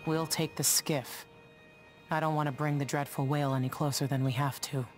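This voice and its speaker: A woman's voice: deep voice, level tone, moderate pace, faint music behind, lightly acted. An actress playing a guarded, secretive woman ship captain in a video game, talking in terse, matter-of-fact phrases. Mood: guarded, businesslike.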